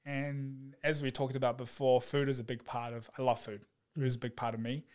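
A young man, a physiotherapist guest chatting casually on a podcast, relaxed and quick to laugh, with plenty of fillers. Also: mid-range voice. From New Zealand. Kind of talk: casual chat.

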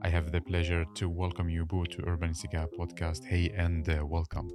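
Deep-voiced male podcast interviewer. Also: monotone, a little fast, music in the background. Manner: friendly, informal questions with fillers. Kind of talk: casual interview.

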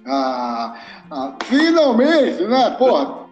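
Male co-host, animated and rambling, fairly high voice, faint music bed. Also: extremely slow, lo-fi sound. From São Paulo, Brazil. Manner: animated, rambling, swears freely. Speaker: podcast co-host. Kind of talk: casual chat.

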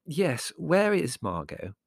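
A man voicing an anxious, gentle mother in a storybook, puzzled and plaintive and easily alarmed. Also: fairly high pitch, wildly swooping pitch, slow, no room sound, clean studio sound, voice pushed up, heavily acted. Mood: bewildered, alarmed.

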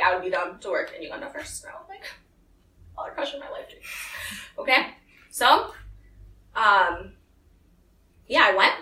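A woman's voice: a little high, bright, forceful tone, slight background noise. A young woman from the United States telling a personal story to a small group, acting out the voices of the people she quotes. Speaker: speaker giving a talk. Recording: echoey room, voice from some distance.

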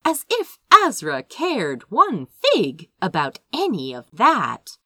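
A woman reading a story aloud as an audiobook, with expressive, theatrical scripted narration. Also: mid-range voice, slow, no echo. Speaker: audiobook reader.

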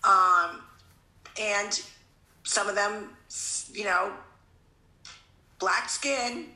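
A woman's voice: mid-range voice, very slow, sharp tone, echoey room, poor audio. A woman in her fifties from Connecticut, talking earnestly in a casual conversation, rambling and often restarting her sentences. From Connecticut, USA.

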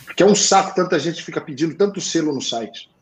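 A man with a Portuguese accent coaching accountants on sales, blunt and slangy, swinging to loud emphasis. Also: on the high side, animated, fast. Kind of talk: live talk show advice.

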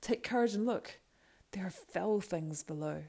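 Loyal fantasy guardsman a woman acts him out: solemn, fervent, archaic diction, low-pitched and gravelly. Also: moderate pace, darkish tone. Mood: shaken.

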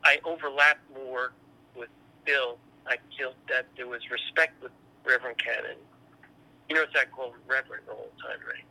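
Older man with a very bright, tinny voice. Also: mid-range voice, fairly even intonation, normal speed, vocal fry. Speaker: interviewee, professor. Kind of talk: conversational interview reminiscence.

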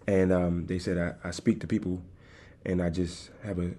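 Calm, low-voiced man hosting a podcast. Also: normal speed, slight background noise. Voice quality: calm, soothing voice. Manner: easygoing, addresses listeners directly. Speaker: podcast host. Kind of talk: casual solo podcast talk. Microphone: desktop USB microphone.

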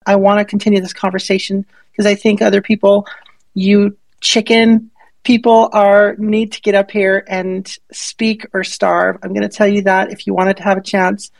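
A woman's voice: medium pitch, level tone, vocal fry, dry recording. A woman hosting a casual live audio chat, joking with the audience and drawing out her questions. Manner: playful, joking, drawn-out questions.

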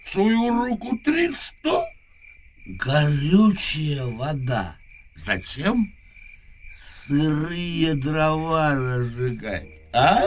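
An actor playing a drunken, bitter old inventor priest in a radio play, hoarse and rambling in slurred, halting bursts. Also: male voice, fairly high pitch, big pitch swings, slight background noise, exaggerated voice. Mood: mocking, excited. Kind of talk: Russian radio drama acting.

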